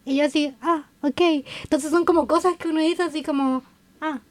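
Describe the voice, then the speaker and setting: High-voiced Chilean woman hosting a chat. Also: fairly even intonation, moderate pace. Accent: Chilean Spanish. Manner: curious, asks follow-up questions, many backchannels. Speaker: host. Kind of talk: casual podcast conversation.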